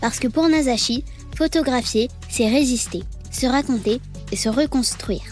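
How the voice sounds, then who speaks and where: A woman podcast host reading a scripted introduction in a measured, poetic way and asking interview questions. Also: high-pitched, darkish tone, soft background music.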